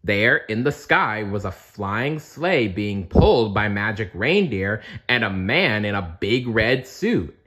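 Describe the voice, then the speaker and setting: Male read-aloud narrator: unhurried, animated pitch, expressive storybook delivery with playful asides. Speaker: storybook reader.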